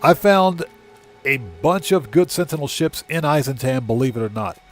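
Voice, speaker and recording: A man with a mid-pitched voice hosts a casual game stream. He talks to viewers and muses aloud in a halting, easygoing way. Game music plays faintly behind him. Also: lively intonation. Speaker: livestream host and gamer. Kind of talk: casual live game commentary, chatting with viewers.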